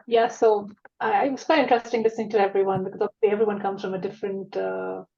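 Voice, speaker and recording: A woman's voice: mid-range voice, little pitch movement, fast talker, creaky, mellow. A woman from India with an Indian accent, musing conversationally about herself as a panel guest. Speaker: podcast guest. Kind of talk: conversational personal reflection in a panel discussion. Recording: slightly dull lo-fi sound.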